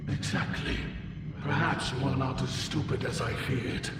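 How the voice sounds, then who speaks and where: An actor playing a cold, condescending, otherworldly male plotter, speaking in a measured, portentous way for a video game. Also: mid-range voice, lightly acted. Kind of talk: video game dramatic acting. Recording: cavernous echo, distant, loud music.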